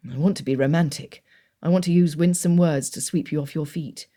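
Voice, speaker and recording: A woman voicing a reserved young gentleman suitor who speaks low, hushed and earnest. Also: steady tone, brisk, close to an everyday voice.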